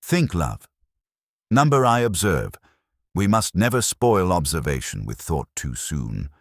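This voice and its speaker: A man playing a calm, measured police inspector with a formal, wry way of talking in a mystery audiobook. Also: sits low, no echo, clean studio sound, full character voice.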